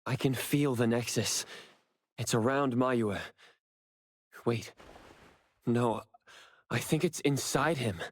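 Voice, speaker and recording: Casting: earnest game hero who senses hidden forces, medium register, measured and focused. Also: male voice, medium pitch. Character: earnest, perceptive anomaly hunter sensing hidden forces. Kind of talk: game voice acting.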